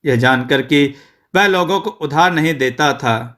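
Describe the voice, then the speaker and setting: A man tells a scripted moral tale at an unhurried pace. His voice is bright and forceful, sitting a little high for a man, and each phrase is measured and clearly spoken. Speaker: storyteller. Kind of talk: scripted moral story narration.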